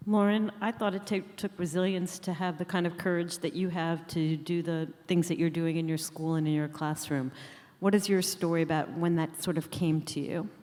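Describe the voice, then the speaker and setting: A woman's voice: on the low side, fairly even intonation, normal speed, dark tone. A woman moderating a panel, introducing speakers and asking them questions with small restarts. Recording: long reverb of a conference hall.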